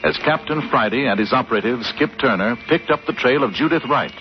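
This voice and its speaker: A man's voice: medium pitch, a little fast. A man narrating as the announcer of a 1940s radio adventure drama. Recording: muffled, noisy old radio recording.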